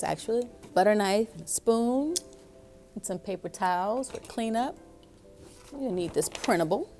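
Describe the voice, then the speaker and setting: A woman's voice: mid-range voice, dark tone. A woman hosting a story time for young children, speaking to them in an encouraging way with frequent questions. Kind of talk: storybook read-aloud and craft instruction for young children.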